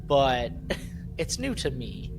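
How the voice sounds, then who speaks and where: A man's voice: mid-range voice, very animated, slow pace. Casual male podcaster over noticeable background noise. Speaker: podcast panelist. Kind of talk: casual chat, personal storytelling.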